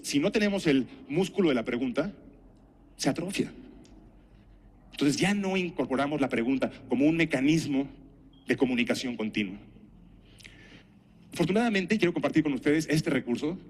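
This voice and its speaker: A middle-aged man with a Mexican accent giving a conference talk, telling anecdotes and asking rhetorical questions in a didactic, deliberate way. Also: mid-range voice, a little mellow, slightly rough. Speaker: conference speaker and health professional.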